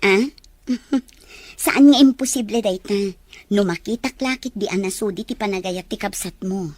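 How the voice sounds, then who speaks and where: A woman's voice: medium pitch, normal speed, darkish tone, no room sound, close to an everyday voice. A woman playing a curious, teasing friend in a domestic drama, with a questioning manner. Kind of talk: Ilocano radio-style drama acting.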